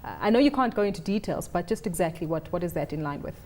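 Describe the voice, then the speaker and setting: A woman anchoring a business news interview, asking brisk, probing questions. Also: on the low side, expressive, a little mellow, slight background noise.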